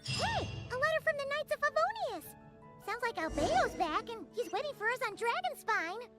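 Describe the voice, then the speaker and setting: A woman voicing a small, chirpy, childlike fairy companion in a video game, squeaky and eager. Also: sing-song, moderate pace. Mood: eager, excited. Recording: game music loud behind. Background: loud game music with tinkling jingles.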